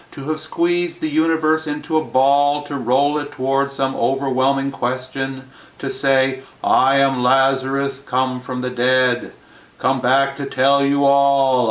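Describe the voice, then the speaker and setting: A man reading poetry plainly in a measured, phrase-by-phrase delivery, with line endings that trail off. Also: mid-range voice, a bit bright, roomy sound, low-quality recording. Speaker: reader of poetry.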